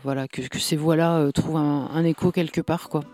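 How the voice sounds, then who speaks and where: A man's voice: fairly high pitch, fairly even intonation, fairly quick, a little mellow, faint music behind. A man who is a comic book author, talking in a casual interview with many fillers and restarts. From France.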